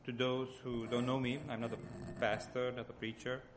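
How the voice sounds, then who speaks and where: A man with a Hungarian accent gives an informal sermon as a lay church speaker, often halting as he searches for words. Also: medium pitch, flat delivery, noisy background.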